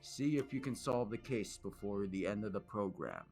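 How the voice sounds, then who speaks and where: A man's voice: lowish voice, expressive, moderate pace, a little mellow. A man announcing a scripted radio mystery show as its narrator, with music behind him.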